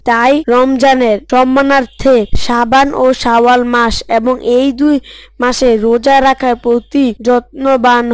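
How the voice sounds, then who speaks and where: A woman's voice: fairly high pitch, smooth, dry recording. A woman voicing a dutiful young son, a pious madrasa pupil, reciting carefully in a scripted Islamic story.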